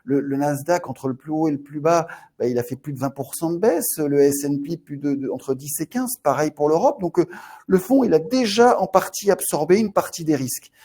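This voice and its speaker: Man, Marseille accent, fund manager, webinar commentary, higher pitch, mellow tone, halting delivery with many repeats. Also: expressive.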